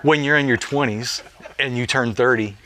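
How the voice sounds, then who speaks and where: A man's voice: medium pitch, moderate pace, pushed and bright, low-quality recording. A man in his late forties, a parachute jumpmaster, telling stories in a casual interview with an earnest manner and many "you know" fillers. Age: late 40s.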